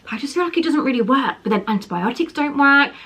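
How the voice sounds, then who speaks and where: A woman's voice: sits high, animated, quick pace, sharp tone. Chatty young woman, a bit wheezy. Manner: chatty, rambling, self-correcting. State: wheezy from a chest infection. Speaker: vlogger. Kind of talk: casual chatty vlog. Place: home, indoors.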